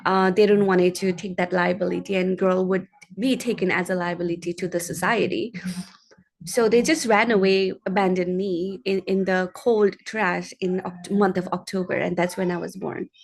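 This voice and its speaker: A woman from Nepal with a Nepali accent, giving a personal advocacy speech in an earnest, halting way as a guest speaker. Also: on the low side, fairly even intonation, gravelly.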